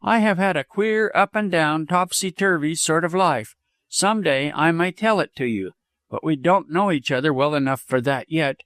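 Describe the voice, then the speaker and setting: A man voicing a proud, agitated, quick-tempered young widow in an audiobook, speaking emphatically and imperiously. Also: on the high side, a bit bright, dry recording, clean studio sound, voice pushed up, close to an everyday voice. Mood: petulant, commanding. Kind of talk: dramatized audiobook reading.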